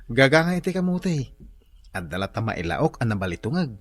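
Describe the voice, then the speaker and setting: A man's voice: mid-range voice, big pitch swings, fairly quick, a little hiss or hum, lightly acted. A man playing a lovestruck young son. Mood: pleading. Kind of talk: radio drama acting.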